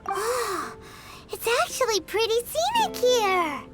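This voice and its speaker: A woman voicing a tiny, chatty, childlike fairy companion in an anime-style fantasy game, squeaky and animated. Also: slow pace, exaggerated voice. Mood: breezy. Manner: squeaky, self-referring, animated. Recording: loud music behind the voice.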